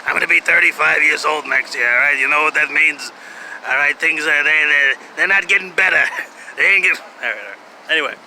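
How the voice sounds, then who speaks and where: A man, giving a halting, repetitive, sardonic and casually profane monologue. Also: a little high, pushed and bright. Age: 30s. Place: outdoors. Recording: clearly noisy outdoor urban ambience, traffic.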